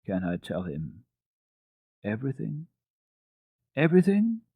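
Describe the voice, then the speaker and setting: Timid homesick storybook girl, played by a male reader: husky, hesitant, artless, close dry sound. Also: mid-range voice, huge pitch swings, measured pace, dry recording, narrow, tinny audio, voice pushed up, exaggerated voice. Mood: hopeful wonder.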